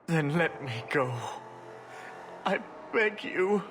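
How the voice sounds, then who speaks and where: A man's voice: fairly high pitch, animated, slow, fairly bright tone, slight background noise. An actor playing a terrified male slave hunter begging for his life, in a pleading, panicked way. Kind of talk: video game voice acting.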